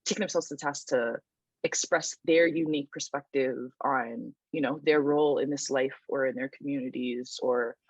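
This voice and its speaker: A woman's voice: a bass voice, fairly even intonation, normal speed, husky, no room sound. A woman, a writer and researcher talking thoughtfully in a casual podcast chat, with many restarts and ums. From Toronto, Canada.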